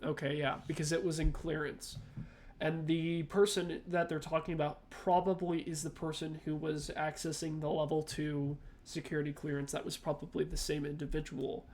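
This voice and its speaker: A man with a slightly high voice hosts a reaction video. He comments casually and off the cuff, working out his thoughts aloud in short, halting pieces. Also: little pitch movement, darkish tone.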